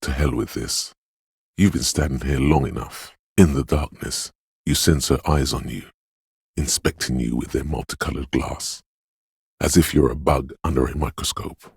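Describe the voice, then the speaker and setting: An extremely deep, heavily husky inner voice for a video game, brooding and dreamlike. It speaks slowly and solemnly, like intuition whispering ominous poetry to its owner. The recording is close and completely dry. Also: male voice, no room sound, exaggerated voice. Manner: slow, solemn, ominous, poetic. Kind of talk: scripted video game narration, second person.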